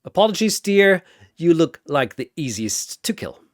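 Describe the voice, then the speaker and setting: A man's voice: fairly high pitch, sing-song, unhurried, dry recording, clean studio sound, voice pushed up. A man voicing a suave, condescending trickster god villain in a smug, mock-polite manner for a story.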